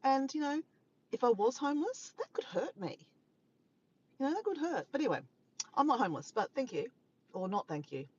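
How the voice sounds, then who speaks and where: A woman's voice: high-pitched, big pitch swings, a little fast, long pauses, a little mellow, lo-fi sound, a little hiss or hum. An Australian woman live-streaming in casual chat, rambling and laughing as she reads out viewers' comments. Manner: chatty, rambling, laughs often, greets viewers.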